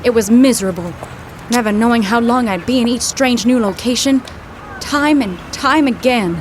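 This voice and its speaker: An actress playing a plucky, practical young woman from the 1930s in a comic audio drama, brisk and matter-of-fact. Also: a little high, slight background noise, lightly acted. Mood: reflective. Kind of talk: period audio drama comedy acting.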